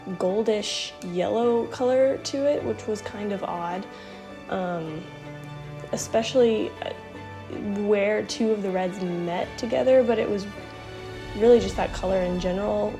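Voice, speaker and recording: A woman's voice: mid-range voice, creaky. Stuffy-voiced young woman, sick, chatty. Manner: relaxed, rambling with many ums. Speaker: art channel host. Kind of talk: casual coloring voiceover. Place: home room, indoors. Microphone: camera mic, then voiceover.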